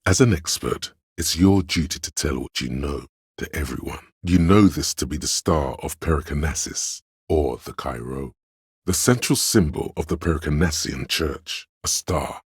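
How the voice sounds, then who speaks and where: A man voicing a learned, pedantic inner voice for a video game, narrating trivia in an erudite, measured, matter-of-fact way with dry asides. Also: dry recording, full character voice. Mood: lofty, instructive. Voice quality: very deep, husky.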